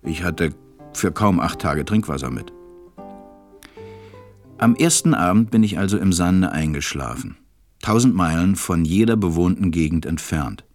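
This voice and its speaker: An actor playing a grown pilot who wistfully recalls his life as a storyteller in a radio play, measured and reflective. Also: sits low, animated, darkish tone, soft background music. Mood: wistful, wondering.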